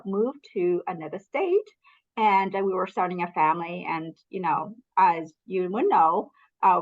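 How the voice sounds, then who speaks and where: A woman's voice: lowish voice, highs cut off. A middle-aged woman from Canada, a business coach telling her story in a candid, earnest, motivational way during a casual interview.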